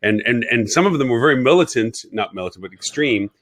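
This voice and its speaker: A man's voice: mid-range voice, expressive, fast talker, a bit bright, no echo. Rambling man, dry close sound. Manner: rambling, repeats words while reasoning. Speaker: co-host of a marketing show. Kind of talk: casual live-stream discussion.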